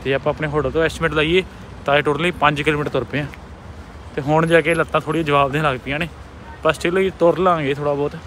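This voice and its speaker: A man's voice: a little high, fairly even intonation, fast, fairly bright tone. A young man from India with a Punjabi accent, chatting casually as a travel vlogger while walking and showing things around. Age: young adult. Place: outdoor city markets and streets. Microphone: handheld camera or phone at arm's length. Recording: noisy outdoor street and traffic sound.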